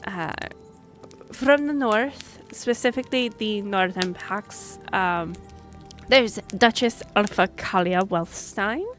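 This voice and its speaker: A woman's voice: medium pitch, slow pace, soft background music, natural voice. A woman playing a friendly, earnest young half-elf royal messenger in a fantasy audio roleplay, speaking a little hesitantly. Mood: formal, hesitant listing. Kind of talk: fantasy audio roleplay, one-sided conversation. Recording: noisy track with background ambience.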